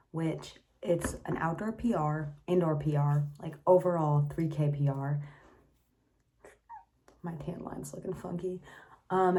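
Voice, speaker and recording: A young woman in her late teens, a little hoarse from cheering, chatting casually to her phone camera in a vlog. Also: low voice, warm tone, a little hiss or hum. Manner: chatty, upbeat, lots of "like". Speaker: vlogger.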